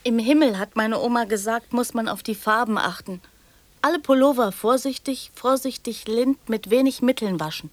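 An actress plays a dreamy woman in love in a radio drama. Her voice sits fairly high, and she muses in short, naive phrases like a child. She comes across as fanciful and trusting. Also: normal speed, no echo, close to an everyday voice. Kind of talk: German radio drama acting.

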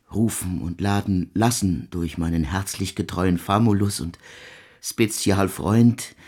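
A brooding, doomed composer in a radio play, low and slightly husky. He speaks very slowly, murmuring a solemn, old-fashioned address with halting repetitions. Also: darkish tone, full character voice. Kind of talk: German radio drama acting.

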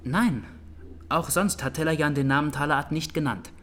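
An actor playing a reserved young trial witness in a radio drama, answering tersely and matter-of-factly. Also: male voice, fairly high pitch, normal speed, a bit bright, a little hiss or hum, close to an everyday voice. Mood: reserved, factual. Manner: terse, careful, matter-of-fact. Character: Armenian consulate secretary of twenty-three, reserved trial witness.

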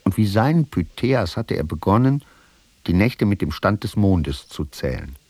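An actor playing a sober narrator in a radio play, giving a measured, matter-of-fact literary account. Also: male voice, deep voice, sing-song, mellow, a little hiss or hum, full character voice. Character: sober male narrator recounting an archaeologist's research.

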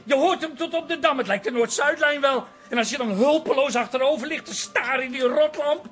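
An actor playing a dramatic, excitable man with a dread of the dentist, whining and exaggerating in a comic radio play. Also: very high-pitched, fairly quick. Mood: mounting panic. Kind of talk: radio play comedy acting.

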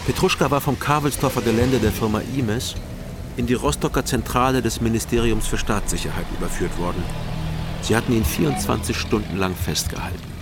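A man playing an East German private investigator, narrating a crime radio drama in a measured, dry, matter-of-fact way. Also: medium pitch, music in the background, natural voice. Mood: brooding, matter-of-fact.

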